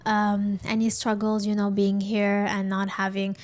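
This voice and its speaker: A woman's voice: mid-range voice, monotone, measured pace. A woman hosting a casual conversational interview, affirming what her guest says as she talks. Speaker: podcast host.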